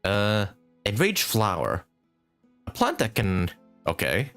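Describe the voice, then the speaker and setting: A man's voice: on the low side, wildly swooping pitch, slow, a bit bright, faint music behind. A man doing casual live commentary as a game streamer, thinking aloud as he plays and swearing casually.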